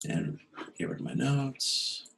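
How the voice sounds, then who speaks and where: A man giving an informal online lecture as a course instructor, halting and correcting himself as he thinks aloud. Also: mid-range voice, sing-song, gravelly, a bit bright. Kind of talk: informal lecture explaining slides. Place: indoor room, online video call. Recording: echoey room on video conference.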